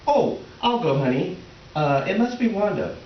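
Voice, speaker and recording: A man's voice: high-pitched, sing-song. A man playing a mild, defensive middle-aged husband in a readers theater comedy, matter-of-fact and a little awkward. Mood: awkward, flustered. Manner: matter-of-fact, defensive, halting when flustered. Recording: roomy, distant, noisy, dull stage recording.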